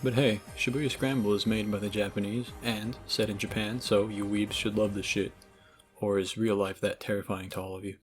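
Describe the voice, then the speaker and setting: Low voiced guy doing a scripted game review, sardonic and sweary, cheap mic, music underneath. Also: little pitch movement, normal speed, rough recording. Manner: sardonic, casually profane. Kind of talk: scripted video essay narration. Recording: low-grade microphone.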